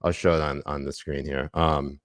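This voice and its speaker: A man co-hosting a political podcast, his voice very low and deep. He talks in a wry, profane way, stumbling through fillers and restarts. The sound is dry and close. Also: level tone, normal speed, no room sound. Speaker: podcast co-host. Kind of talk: casual political podcast chat.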